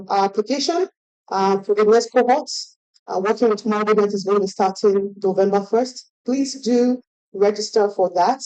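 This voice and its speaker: A woman's voice: mid-range voice, mellow, rough recording. A woman organizer giving hesitant remarks with many fillers to an event audience. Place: event stage.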